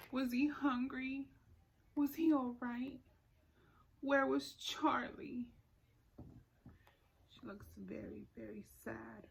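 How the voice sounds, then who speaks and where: A woman with a fairly high voice reads a picture book for story time. She takes her time, speaking expressively to young children like a preschool teacher and inviting them into the story. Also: vocal fry. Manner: measured, expressive storytelling with questions to listeners.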